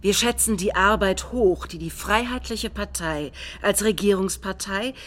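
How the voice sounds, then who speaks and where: A woman acting in a radio play, reciting a politician's speech in a formal, measured manner. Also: mid-range voice, natural voice. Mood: formal, courteous. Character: formal politician welcoming allies at a rally.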